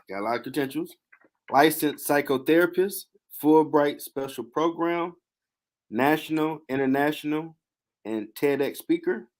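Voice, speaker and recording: A man hosting a casual podcast interview, brisk and slangy in manner. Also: mid-range voice. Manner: brisk, laid-back, slangy. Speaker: podcast host.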